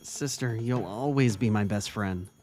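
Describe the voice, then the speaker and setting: A man's voice: lowish voice, unhurried, darkish tone, faint music behind, lightly acted. A man playing an earnest, kindly vice-principal and devoted brother in an audio drama, with a sincere delivery. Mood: heartfelt. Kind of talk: audio drama reading of fan fiction.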